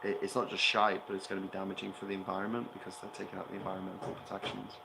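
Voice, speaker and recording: A man co-hosting a casual livestream chat outdoors, blunt and quick to swear. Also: low voice, completely flat delivery, gravelly, background noise. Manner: blunt, swearing, laughing asides. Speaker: co-host, island owner. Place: outdoors on an island, sitting on cardboard.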